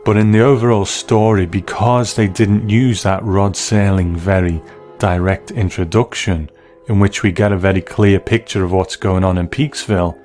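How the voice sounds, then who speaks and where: A man with a deep voice gives scripted review commentary. He is measured and analytical, with soft music playing behind him. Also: steady tone.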